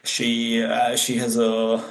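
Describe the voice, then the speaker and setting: A man from the Czech Republic with a Czech accent, a guest athlete and coach answering interview questions in halting English, searching for words. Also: mid-range voice, monotone, gravelly, echoey room, poor audio.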